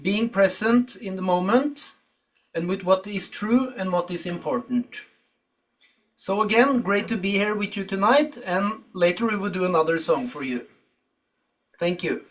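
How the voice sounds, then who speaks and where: A man's voice: sits high, lively intonation, measured pace, a bit bright. A Norwegian man with a Norwegian accent, a musician introducing a song in English to an online audience. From Norway. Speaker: musician and songwriter.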